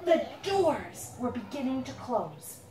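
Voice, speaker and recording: A woman reading her own picture book aloud to a young child, playfully dramatic, drawing out words and pausing to ask questions. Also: high-pitched, sing-song, warm tone. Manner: dramatic build-ups with drawn-out words. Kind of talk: animated storytelling read aloud to a young child. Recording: roomy, noisy, distant handheld recording.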